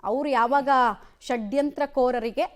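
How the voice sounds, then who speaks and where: A woman's voice: sits high, a little slow, a little mellow. A woman with a Karnataka accent anchoring live news, speaking emphatically and putting questions to reporters.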